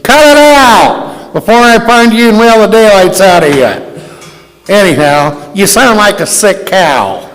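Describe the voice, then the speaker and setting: Man playing a high-pitched blustering American father. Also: lively intonation, takes it slowly, a bit bright, exaggerated voice. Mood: irritated. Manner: folksy, blustering. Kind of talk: live old-time radio play acting. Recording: echoey hall stage recording.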